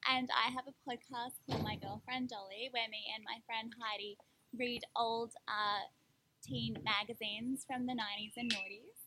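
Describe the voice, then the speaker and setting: Giggly high-voiced woman in her thirties. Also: fairly even intonation, moderate pace, background noise. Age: 30s. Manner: bubbly, giggly. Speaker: podcast guest. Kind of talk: casual podcast chat.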